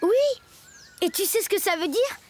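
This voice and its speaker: An actor voicing a plucky, boastful, excitable young jungle boy in a French cartoon dub. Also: very high-pitched, moderate pace, background noise. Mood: proud. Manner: bright, cocky, exclamatory. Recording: loud background music.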